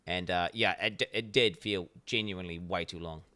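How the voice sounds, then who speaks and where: NZ-accented male streamer, profane and rambling, crisp clear tone. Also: deep voice, normal speed, bright, forceful tone, no echo. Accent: New Zealand. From New Zealand. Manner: blunt, profane, rambling with many restarts. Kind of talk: casual reaction stream commentary.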